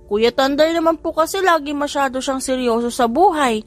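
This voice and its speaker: A woman's voice: high voice, moderate pace, voice pushed up, lightly acted. A woman voicing a playful little boy, one of the triplets, talking in a pleading child's voice. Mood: excited.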